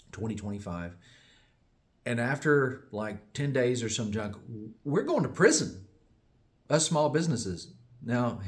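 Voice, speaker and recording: A man from the United States, a small business owner, giving a casual, digressive update that often corrects itself and chuckles along the way. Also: mid-range voice. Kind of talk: casual rambling monologue.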